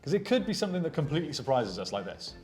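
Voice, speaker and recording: A man's voice: on the high side, sing-song, racing, darkish tone, faint music behind. A man chatting casually as co-host of a tabletop gaming show, with a self-deprecating manner. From Wales. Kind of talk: casual chat.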